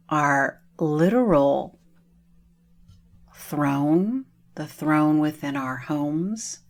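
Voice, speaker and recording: Very slow, low-pitched woman guiding meditation. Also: darkish tone. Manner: slow, soothing, with long pauses. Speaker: meditation guide. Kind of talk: guided meditation.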